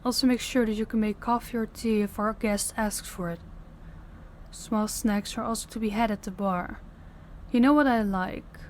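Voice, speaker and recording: A woman's voice: mid-range voice, fairly even intonation, normal speed, darkish tone, a little hiss or hum, lightly acted. A woman playing a dominant mafia boss in an audio roleplay, stern and condescending, giving orders and patronizing praise. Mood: strict, instructing. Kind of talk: audio roleplay addressed to the listener.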